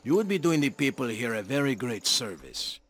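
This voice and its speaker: A man's voice: mid-range voice, very animated, normal speed, a bit bright. A man playing a sly older Mexican bandit leader in a western video game, coaxing and persuasive. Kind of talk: video game cutscene acting. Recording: music behind the voice.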